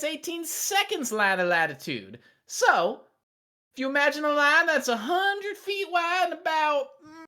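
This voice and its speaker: A woman's voice: sits high, bright, forceful tone, exaggerated voice. A woman playing a friendly, persistent hiker in a table read, talking in a casual, folksy way.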